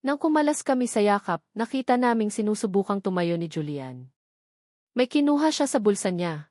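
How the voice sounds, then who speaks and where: Brief: woman storyteller, earnest dramatic first-person narration, mid-pitched, dry close sound. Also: lively intonation, normal speed, a little mellow, dry recording.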